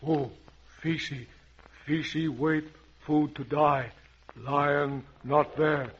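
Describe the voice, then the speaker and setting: A husky, solemn safari guide in a vintage radio adventure. He speaks very slowly in clipped, broken English, wary and loyal, on a muffled old recording. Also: a little high, steady tone, heavily acted. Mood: alarmed, solemn. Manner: halting, clipped pidgin phrases, solemn.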